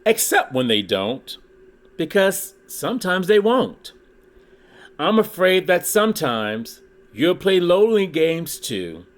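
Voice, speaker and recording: A man reading a rhyming children's book aloud in an expressive, deliberate way, pausing dramatically for emphasis. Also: sits high. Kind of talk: expressive storybook read-aloud in rhyme.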